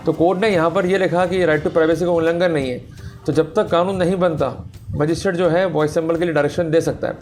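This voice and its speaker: Higher-pitched Indian man lecturing emphatically. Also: steady tone, brisk, darkish tone. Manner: emphatic, rhetorical questions, addresses listeners directly. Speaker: law lecturer. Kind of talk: explanatory legal lecture mixing Hindi and English.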